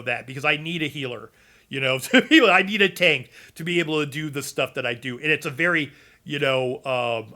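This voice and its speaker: Rambling man with a bright voice. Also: on the high side. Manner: rambling, many fillers and restarts. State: losing his voice. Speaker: gaming content creator. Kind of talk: casual chat about game builds.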